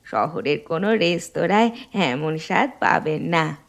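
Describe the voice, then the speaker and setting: A woman's voice: deep voice, animated, unhurried, gravelly, exaggerated voice. A woman playing a motherly, hospitable middle-aged village housewife in a cartoon. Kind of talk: cartoon dialogue dub.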